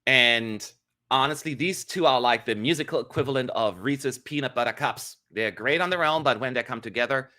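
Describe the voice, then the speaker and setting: A man hosting a casual online panel chat on a video call, talking in a lively way with lots of fillers, from a home room. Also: medium pitch, normal speed. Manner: lively, chatty, frequent "y'all" and fillers. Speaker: host. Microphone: studio mic on a video call.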